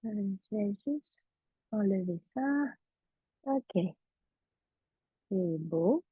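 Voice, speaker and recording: A woman's voice: medium pitch, lively intonation, drawn-out words, breathy edge, dry recording, phone-quality sound. A middle-aged woman, originally from Vietnam, recording a casual numerology webinar as a coach, speaking hesitantly with frequent fillers and restarts.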